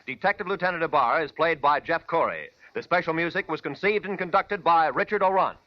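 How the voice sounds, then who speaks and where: A man announcing scripted credits in a formal, polished 1940s radio style with measured diction. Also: on the high side, muffled recording, heavy background noise. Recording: band-limited 1940s radio recording with music.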